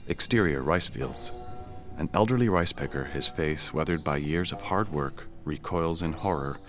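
An actor playing a harried, sarcastic, stammering government funding official in a satirical audio drama. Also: male voice, rumbling low, normal speed, a bit bright, faint music behind. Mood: dramatic read-aloud.

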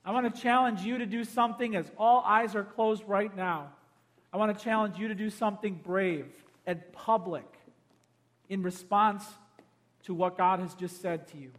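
A pastor delivering an altar call sermon, urging and pleading, swinging from loud appeals to hushed, quiet invitations. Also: male voice, sits high, fairly even intonation, normal speed. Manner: urgent, pleading, swells loud then drops to a hush.

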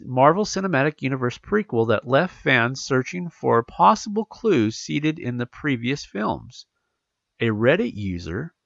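A man's voice: medium pitch, gravelly, fairly bright tone, no echo, dull audio. A man hosting a casual podcast, congested from allergies, rambling with many fillers and restarts as he reads out news. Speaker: podcast host. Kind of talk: casual podcast chat, reading news aloud.

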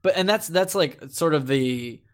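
A man co-hosting a podcast, talking casually and haltingly as he thinks aloud. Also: on the high side, lively intonation, noisy background. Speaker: podcast co-host.

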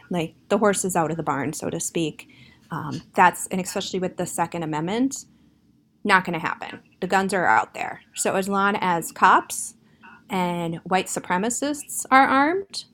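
A woman speaking as an activist guest, steady and thoughtful with occasional fillers. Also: medium pitch. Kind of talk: casual spoken perspective.

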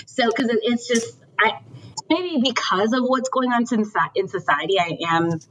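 A woman's voice: on the high side, moderate pace, a bit bright, slight background noise, rough recording. A woman from Ohio in casual conversation, telling personal stories with animation and acting out the people she quotes. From Ohio, USA.

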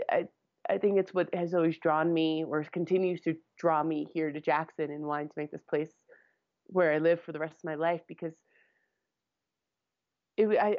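A woman's voice: low-pitched, no room sound, lo-fi sound. A woman guest in a casual interview, thinking aloud and often restarting and repeating her words. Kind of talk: casual conversation.

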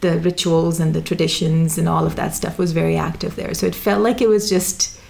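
Low, gravelly-voiced woman telling stories. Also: a little fast. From Sudan, raised in Dubai. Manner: relaxed, many fillers and restarts. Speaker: interview guest. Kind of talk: casual conversational storytelling.

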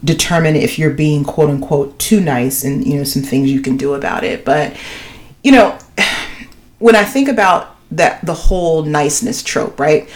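A woman with a deep voice co-hosting a podcast in casual chat, talking emphatically and filling her speech with "you know.". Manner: emphatic, animated, many "you know" fillers. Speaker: podcast co-host.

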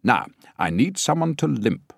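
A man voicing a bossy, showy male film director in an audiobook, brisk and commanding. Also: mid-range voice, sing-song, vocal fry, no echo, clean studio sound, pitched above the performer's own voice, exaggerated voice.

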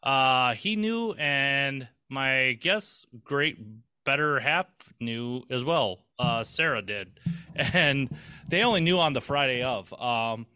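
Male podcast co-host, rambling and loose, crisp clear voice. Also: mid-range voice, lively intonation, slow, sharp tone, dry recording. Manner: rambling, chatty, swears casually, many restarts. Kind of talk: casual podcast chat.

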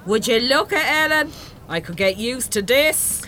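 A woman's voice: a little high, expressive, unhurried, sharp tone. An actress in an audio drama playing a flirty, gushing working-class woman with a Dublin accent, over faint noise. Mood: excited, impressed. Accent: Dublin Irish. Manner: forward, gushing.

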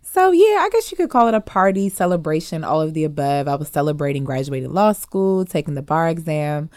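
A woman's voice: sits low, big pitch swings, brisk, darkish tone, no room sound, clean studio sound. A young woman from Cameroon who grew up in Maryland, answering questions conversationally as an interview guest. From Cameroon, grew up partly in Maryland. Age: young adult. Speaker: interview guest, law clerk.

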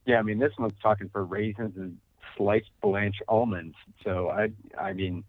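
A man's voice: fairly low pitch, fairly even intonation, normal speed, no echo. A man co-hosting a casual chat, telling laid-back stories and quoting his own thoughts. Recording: tinny phone-like line.

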